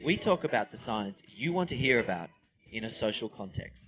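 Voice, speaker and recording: A man with a middle-pitched, lively voice reads a podcast promo as host. The recording is dull with faint noise. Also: a little fast.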